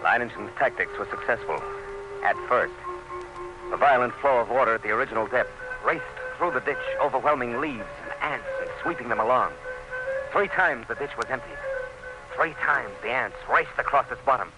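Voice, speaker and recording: An actor playing an earnest, anxious commissioner with a solemn, dramatic delivery, as in an old-time radio drama. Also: male voice, mid-range voice, moderate pace, over loud music, lightly acted, poor audio. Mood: tense narration. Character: earnest, anxious commissioner recounting a desperate siege. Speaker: narrator and character.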